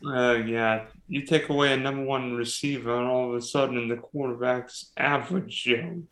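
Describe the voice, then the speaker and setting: A man's voice: medium pitch, fairly even intonation, normal speed, fairly bright tone. A man hosting a casual sports podcast, teasing and quizzing his guest. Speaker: podcast host. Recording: roomy echo.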